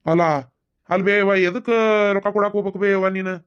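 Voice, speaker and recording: A man's voice: sits high, little pitch movement, rapid-fire, clear, resonant tone, muffled recording, lightly acted. A man voicing an exasperated grown village son in a cartoon, firing off reproachful questions with a North Karnataka accent. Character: exasperated grown village son caught between bickering women. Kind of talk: village comedy cartoon dialogue.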